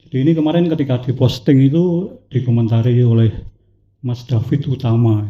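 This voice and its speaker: Man, has a cough, reads a prepared poetic talk, slow and measured, slightly dull recording. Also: mid-range voice, fairly even intonation. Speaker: speaker at a talk event.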